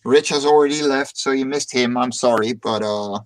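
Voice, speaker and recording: Dutch man, stream host, casual chat, joking, mid-pitched voice. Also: moderate pace, rough recording. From Netherlands. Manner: joking, upbeat, repeats words when starting.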